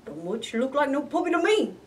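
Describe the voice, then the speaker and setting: A woman's voice: sits high, sing-song, fairly quick, far from the mic, slight background noise. A woman voicing nosy, skeptical storybook animals with a drawling, folksy manner. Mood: nosy, doubtful.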